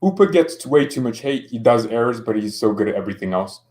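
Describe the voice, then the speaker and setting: A man's voice: mid-range voice, lively intonation, quick pace, darkish tone. A young man casually streaming about football, joking around and reading out chat comments. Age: young adult.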